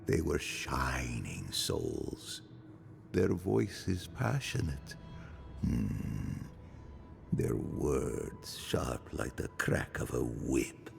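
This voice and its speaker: A mad cult leader speaks in an extremely deep voice, thick with gravelly creak. He preaches with theatrical menace, as in a fantasy game cutscene, swooping through his phrases. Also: big pitch swings, soft background music, heavily acted. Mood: rapt reminiscence. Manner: slow, theatrical, sermon-like. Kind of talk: video game cutscene acting.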